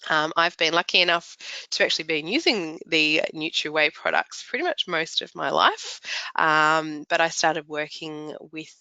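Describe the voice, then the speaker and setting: Woman presenter, middle-aged, chatty with ums, slightly raspy voice, dull lo-fi online audio. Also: deep voice, animated, moderate pace, pushed and bright, no room sound. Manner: chatty, upbeat, frequent ums. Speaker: webinar presenter, naturopath trainer. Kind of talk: product launch webinar presentation.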